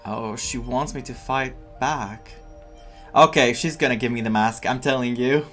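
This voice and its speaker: Mid-pitched man musing over a game. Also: fairly bright tone. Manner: musing, halting, thinking aloud. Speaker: game streamer. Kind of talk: casual playthrough commentary, some reading of dialogue. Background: game music.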